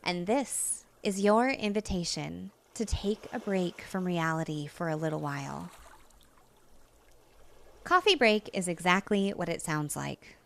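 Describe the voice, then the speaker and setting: A woman hypnotist gently narrating a guided relaxation, soothing and unhurried, with long pauses between phrases. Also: lowish voice, lively intonation, creaky.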